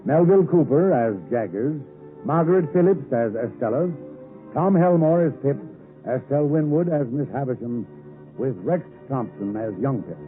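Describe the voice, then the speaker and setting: A man's voice: mid-range voice, lively intonation, moderate pace, music in the background. A man announcing a 1950s radio drama programme in a formal broadcast style. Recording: muffled narrow-band vintage radio.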